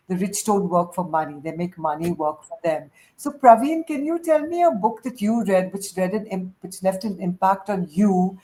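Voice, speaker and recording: Woman, mid-pitched, encouraging panel host in a relaxed group chat. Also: low-quality recording. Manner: effusive and encouraging. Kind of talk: moderating a casual panel discussion.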